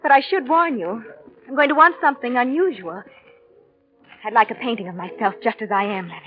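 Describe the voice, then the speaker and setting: An actress playing a seductive, secretive, self-assured art patron with a coy, measured delivery in a 1940s radio drama. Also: female voice, fairly high pitch, natural voice. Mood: seductive, insistent. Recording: dull lo-fi 1940s radio recording.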